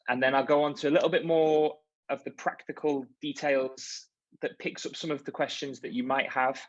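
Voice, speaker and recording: Man, thirties, friendly and encouraging, mid-pitched, muffled video-call sound. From Liverpool, England. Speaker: university chaplain and events coordinator. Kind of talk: informal online welcome talk. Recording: dull lo-fi video call audio.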